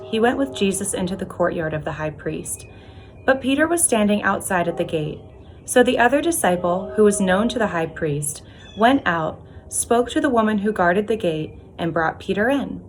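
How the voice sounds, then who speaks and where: A woman's voice: mid-range voice, a little hiss or hum. A woman reading a Bible story aloud as a lay reader. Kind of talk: scripture reading.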